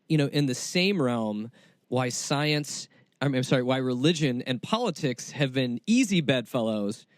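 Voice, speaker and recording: A man's voice: medium pitch, no room sound, studio quality. A man hosting a show, introducing segments and asking interview questions in a conversational way. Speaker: podcast host interviewer.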